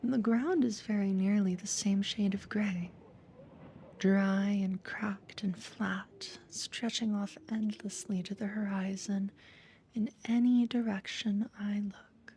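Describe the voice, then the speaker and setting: A woman playing a calm, quietly controlling therapist in a slow, breathy, whispered ASMR hypnosis roleplay, soothing yet ominous. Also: medium pitch, a little hiss or hum. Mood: hushed, eerie.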